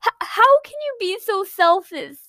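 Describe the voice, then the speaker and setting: A woman's voice: sits high, moderate pace, dry recording, clean studio sound, lightly acted. A woman voicing a fiery, emotional young woman who speaks with indignation.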